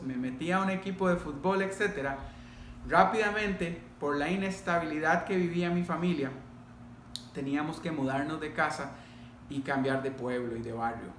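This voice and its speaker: Echoey middle-aged Costa Rican man, higher-pitched voice. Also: fairly bright tone. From Costa Rica. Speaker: pastor. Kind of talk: personal testimony told to a congregation. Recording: echoey room, faint background noise.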